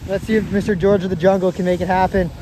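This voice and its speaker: A man's voice: high-pitched, level tone, fast, warm tone. A young man vlogging in casual, slangy, joking chat and commentary outdoors. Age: young adult. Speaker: vlog host, skier. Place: outdoors on a ski hill. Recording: outdoor phone recording with noisy wind.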